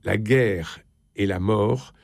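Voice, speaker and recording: Gravelly low-voiced interviewer. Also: male voice, takes it slowly, darkish tone, dry recording, clean studio sound. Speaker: interviewer, literary show host. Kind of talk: literary interview, reading book passages aloud.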